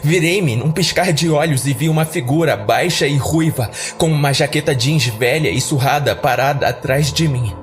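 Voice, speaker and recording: A man's voice: fairly high pitch, moderate pace, few pauses. A Brazilian man giving dramatic first-person narration of a horror story as a podcast narrator. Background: music.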